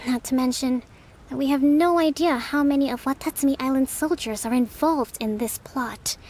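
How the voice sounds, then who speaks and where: An actress playing a gentle, composed young female leader in a video game, with measured, formal, articulate speech. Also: high voice, a little hiss or hum, natural voice. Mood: grave, analytical. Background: faint game background music.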